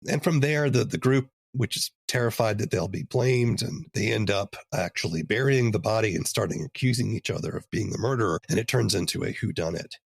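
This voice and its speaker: Gravelly, husky male podcast host. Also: lowish voice, animated, fairly quick, hardly any pauses, no room sound. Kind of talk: conversational interviewing.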